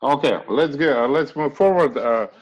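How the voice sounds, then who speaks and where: A man hosting an online webinar in English, speaking haltingly with many fillers and restarts. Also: mid-range voice. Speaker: webinar host. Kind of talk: hesitant online meeting hosting. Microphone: video call. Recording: muffled video call audio.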